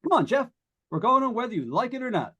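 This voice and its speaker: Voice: medium pitch, sing-song, fast, very noisy, natural voice. An actor playing a chatty, wisecracking radio announcer on a ghost hunt, in 1940s radio drama style. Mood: brisk, chatty. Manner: breezy, folksy, jokey.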